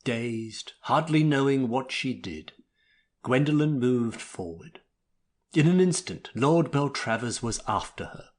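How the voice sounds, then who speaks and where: Male audiobook narrator, swooping intonation, slightly rough husky tone, theatrical storytelling with melodramatic flourish. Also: mid-range voice, animated, slow, darkish tone. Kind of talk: expressive scripted story narration.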